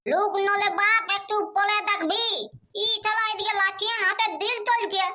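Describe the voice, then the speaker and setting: A childlike village cartoon character with a very high, piercingly bright voice. The words come out with a babyish lisp in a Purulia Bengali accent. The character bargains in a nagging, pleading way. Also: flat delivery, normal speed, exaggerated voice. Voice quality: very high, squeaky, babyish lisped consonants. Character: childlike cartoon villager haggling eagerly with an old seller. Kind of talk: comedy cartoon dialogue.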